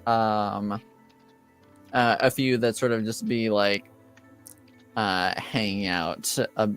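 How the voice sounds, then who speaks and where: A man with a clear, smooth voice narrates a tabletop roleplaying game as its game master. He speaks slowly and haltingly, searching for the next phrase in a casual way, with faint music behind him. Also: mid-range voice.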